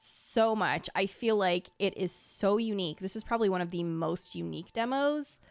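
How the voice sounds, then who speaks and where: A woman giving casual, gushing reaction commentary in a conversational, expressive way. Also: medium pitch, normal speed, creaky, no room sound. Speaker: streamer ranking game character demos. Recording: music playing behind the voice. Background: background music.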